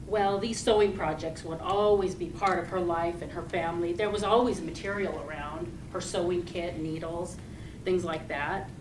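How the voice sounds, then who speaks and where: A woman giving a rehearsed storytelling speech to an audience, speaking deliberately and expressively with pauses. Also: fairly low pitch, steady tone. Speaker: club speaker giving a tall tale speech. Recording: roomy, distant mic with loud noise.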